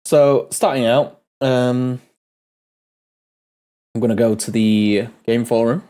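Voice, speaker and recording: A man hosting a casual game developer Q&A, a bit ill and nasal, rambling with many pauses and restarts. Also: medium pitch, fairly even intonation, dark tone. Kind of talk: casual dev talk Q&A.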